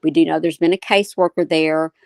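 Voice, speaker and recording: A woman host giving measured, explanatory commentary and answering viewer comments in short, repeated phrases. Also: low voice, steady tone, vocal fry, no room sound, clean studio sound. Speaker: talk show host.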